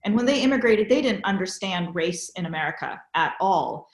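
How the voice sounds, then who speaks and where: A woman's voice: mid-range voice, lively intonation, brisk, echoey room. A woman from the San Francisco Bay Area hosting a conversation, explaining ideas in a thoughtful way. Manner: explanatory, thoughtful, frequent "you know". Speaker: podcast host. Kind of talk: conversational interview.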